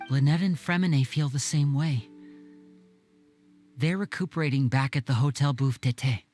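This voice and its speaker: A man's voice: mid-range voice, moderate pace, a little mellow, faint music behind, natural voice. An actor playing an earnest, humble, devoted young man, speaking hesitantly and sincerely in video game dialogue. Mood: respectful, resolute.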